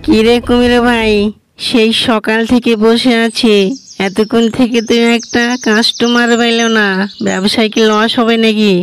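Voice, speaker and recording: A woman's voice: fairly high pitch, flat delivery, unhurried, soft background music, natural voice. A woman voicing a sad, poor, gullible cartoon fox who complains in a plaintive way. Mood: impatient. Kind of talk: cartoon animal dialogue.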